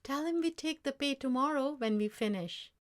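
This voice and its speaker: A woman's voice: sits high, normal speed, pitched above the performer's own voice, lightly acted. Woman as a firm, honest old grandfather. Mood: sad, firm. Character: proud, honest old grandfather, stern but loving. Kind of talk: storybook character dialogue.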